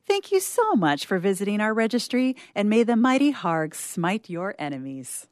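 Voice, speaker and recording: A woman's voice: medium pitch, big pitch swings, moderate pace, a little mellow, no room sound, clean studio sound. A woman giving a scripted comic reading as a performer.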